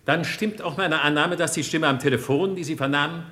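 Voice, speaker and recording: An actor playing a composed, persistent defence lawyer in a crime radio play, speaking in a measured, formal, probing way. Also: male voice, on the high side, sharp tone.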